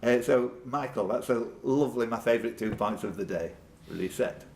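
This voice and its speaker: A man's voice: medium pitch, brisk, darkish tone. A man hosting a live pub quiz, reading out questions and chatting jokily with contestants. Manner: jokey banter with contestants; reads questions aloud, then chats off the cuff. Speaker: quiz host. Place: indoors at a pub quiz event. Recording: background noise in a pub room.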